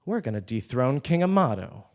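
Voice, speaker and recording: A man's voice: mid-range voice, sing-song, normal speed, gravelly, slight background noise. A man playing a stubborn, defiant commoner in a comic stage play, emphatic and sarcastic. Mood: determined.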